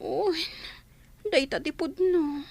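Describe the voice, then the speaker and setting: A woman's voice: sits high, takes it slowly, gravelly, darkish tone, heavily acted. A woman acting a fussy, plaintive young pregnant wife in an Ilocano radio comedy drama. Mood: whiny, fussy.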